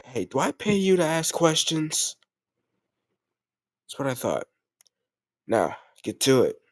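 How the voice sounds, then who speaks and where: A man's voice: on the high side, big pitch swings, slow, no echo, studio quality, heavily acted. A man playing a doting but ruthless mafia boss in an intimate audio roleplay, commanding yet affectionate, using gangster slang. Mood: stern, threatening.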